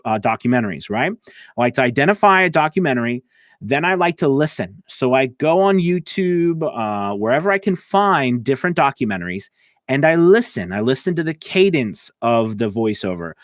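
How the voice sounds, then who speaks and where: Man, voice-over coach, conversational and explanatory, informal tutorial delivery, mid-pitched, very dry room sound. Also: no echo. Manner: conversational, explanatory, often checking in with okay and right. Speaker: voice-over coach and working voice actor. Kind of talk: informal instructional talk to camera. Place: editing station indoors.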